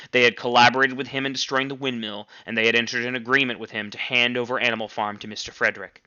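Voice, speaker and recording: A man reading a novel aloud like a teacher, with emphatic, expressive storytelling. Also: mid-range voice, muffled recording. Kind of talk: dramatic novel read-aloud.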